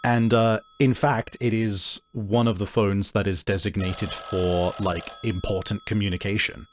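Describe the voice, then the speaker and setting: A man narrating a tabletop game as game master, improvising and thinking aloud in a halting way. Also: fairly low pitch, little pitch movement, slight background noise.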